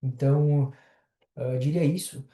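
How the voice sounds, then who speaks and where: A man chatting as a podcast guest, talking in a relaxed way with many pauses and filler sounds. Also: medium pitch, echoey room. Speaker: podcast guest, affiliate marketer. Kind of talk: casual podcast chat.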